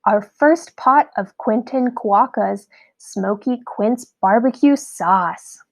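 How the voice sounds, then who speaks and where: A woman's voice: fairly high pitch, slow pace, vocal fry, heavily acted. A woman voicing a cheerful female quail jam maker with an emphatic manner in a children's storybook. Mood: pleased. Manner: quacking, emphatic. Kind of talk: children's storybook read-aloud.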